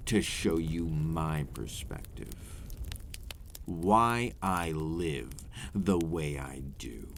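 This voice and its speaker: A man voicing a centuries-old vampire in an ASMR roleplay, a courtly, teasing predator with condescending, formal, old-fashioned phrasing and a deep voice. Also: a little hiss or hum, exaggerated voice. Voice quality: deep, breathy at moments.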